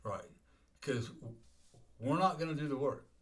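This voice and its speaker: A man's voice: medium pitch, a little slow, fairly bright tone. A man in his sixties hosting a life coaching podcast, talking casually and often repeating words and checking "okay?". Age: 60s.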